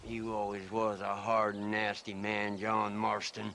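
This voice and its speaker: Creaky, grumbling old ranch hand. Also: male voice, on the low side, monotone, measured pace, sharp tone, slight background noise, heavily acted. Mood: wounded, evasive. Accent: American, rural Western. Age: older. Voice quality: creaky, gravelly. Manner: whiny, grumbling. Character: lazy, grumbling old ranch hand, crafty and self-pitying. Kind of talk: video game cutscene acting.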